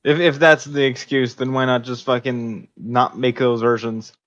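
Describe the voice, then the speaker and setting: Dude on a gaming podcast, skeptical n blunt, average pitch, dry room sound. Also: male voice, flat delivery, moderate pace, a bit bright, no echo, dull audio. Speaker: podcast co-host. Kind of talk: casual gaming podcast chat.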